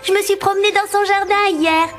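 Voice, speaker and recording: A woman's voice: extremely high pitch, fairly even intonation, brisk, soft background music. An actress playing a sweet, curious little girl with an earnest, childlike manner in a cartoon. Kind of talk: cartoon character acting.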